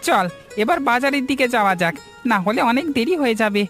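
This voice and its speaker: A grumbling, devout village fisherman, played by a voice actor in a moral cartoon. The pitch swings widely as he talks. Light music runs behind the voice. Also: lively intonation, fast, fairly bright tone, close to an everyday voice. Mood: relieved, practical. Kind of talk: Bengali moral cartoon dubbing.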